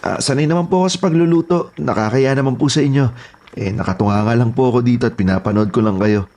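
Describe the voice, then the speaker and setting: An actor playing a polite, eager young houseboy, earnest and deferential, in a horror audio drama. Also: male voice, medium pitch, fast talker, a little mellow, lightly acted. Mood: apologetic, insistent.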